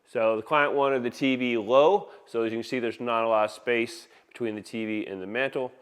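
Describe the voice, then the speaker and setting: A man's voice: mid-range voice, steady tone, a little fast, smooth. A man presenting an audio installation in a casual, enthusiastic video walkthrough, talking informally like a salesman while filming by hand in a living room. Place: inside a large open living room. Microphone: handheld phone camera.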